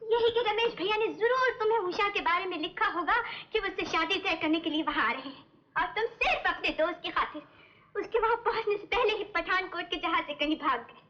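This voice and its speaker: An actress playing a distraught young sister in an old Hindi film melodrama, pleading and defiant with theatrical emotion. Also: female voice, very high-pitched, a little fast. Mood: reproachful, earnest. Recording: dull, lo-fi old film soundtrack, hissy.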